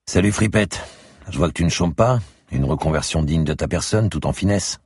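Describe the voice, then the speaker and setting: A man's voice: low-pitched, animated, normal speed, darkish tone, husky, no room sound, clean studio sound, voice pushed up. A man playing a hard-boiled, sarcastic, menacing police commissioner in an audiobook.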